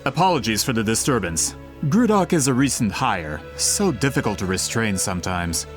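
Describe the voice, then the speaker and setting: A man playing a suave, scheming gentleman employer in an anime-style video game, polished, formal and flattering. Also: on the low side, moderate pace, over music. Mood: apologetic, smooth.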